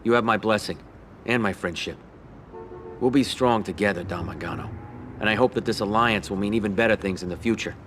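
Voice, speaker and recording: An actor playing an ambitious, shrewd young mob underboss who talks in an even, controlled, businesslike way in a game cutscene. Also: male voice, fairly low pitch, brisk, slight background noise, lightly acted.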